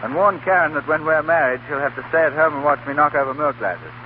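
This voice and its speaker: An actor playing a teasing young doctor with a joking, offhand manner in a 1930s radio drama. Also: male voice, fairly high pitch, expressive, quick pace, natural voice. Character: breezy, teasing young doctor, engaged to be married. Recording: tinny 1930s radio broadcast, faint hiss.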